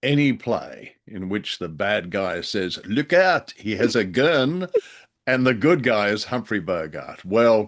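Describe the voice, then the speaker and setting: A man with a low voice talks as a podcast co-host. He gives a casual review in a measured, hesitant way. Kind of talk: casual discussion.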